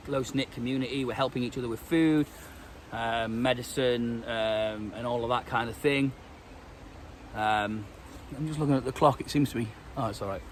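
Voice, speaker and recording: A man's voice: mid-range voice, a little hiss or hum. A British man with a stuffy cold, chatting casually in a vlog with lots of ums and restarts. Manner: rambling, many ums and restarts. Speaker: vlogger. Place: outdoors in woodland.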